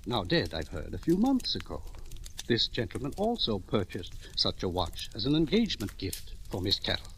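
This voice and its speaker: A genteel, evasive shopkeeper with a gravelly creak and a very lively, swooping delivery. He phrases things formally and hesitantly, in old-time radio character style with faint noise. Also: fairly low pitch, moderate pace, exaggerated voice. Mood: evasive, courteous. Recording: noisy vintage radio recording.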